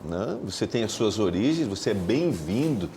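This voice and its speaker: Lively Brazilian pastor hosting a Bible show. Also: male voice, moderate pace, slight background noise. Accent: Brazilian Portuguese. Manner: animated pitch jumps, frequent tag questions. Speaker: TV Bible study host, pastor. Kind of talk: casual studio talk.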